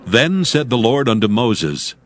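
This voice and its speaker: A man narrating scripture for an audio drama in a solemn, measured way. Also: medium pitch, sing-song, fairly bright tone.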